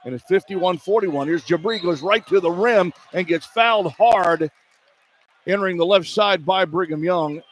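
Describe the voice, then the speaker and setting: A man's voice: high voice, normal speed. An American man doing live radio play-by-play of a basketball game, speaking in clipped running phrases that follow the action. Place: courtside in an indoor arena. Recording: clear background noise, live arena broadcast.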